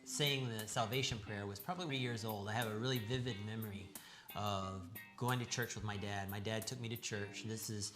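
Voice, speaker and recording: An American man casually telling a personal testimony in a halting, conversational way. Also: fairly low pitch, fairly even intonation, over music. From Tucson, United States. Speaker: guest sharing testimony.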